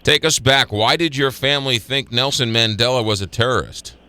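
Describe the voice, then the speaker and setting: A man's voice: mid-range voice, moderate pace, pushed and bright, smooth. A man hosting a radio interview in a studio, stumbling and restarting his questions. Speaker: radio host.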